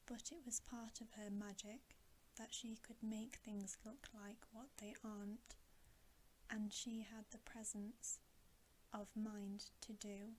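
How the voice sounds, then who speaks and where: A woman reading a bedtime story in a soft, breathy ASMR whisper, gently and with pauses. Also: mid-range voice, flat delivery, a little hiss or hum, low-quality recording. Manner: slow, gentle, unhurried delivery with pauses.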